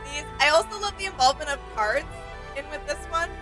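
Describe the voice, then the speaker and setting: A woman's voice: high voice, fast talker, over music. A woman co-hosting a fan reaction in casual chat, speaking with an enthusiastic, agreeable and giggly manner. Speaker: reaction channel co-host.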